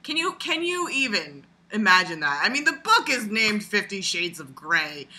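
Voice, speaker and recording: A woman giving casual, rambling video commentary in an animated, sarcastic way, with crude humor and frequent swearing. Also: mid-range voice, moderate pace. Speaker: video blogger.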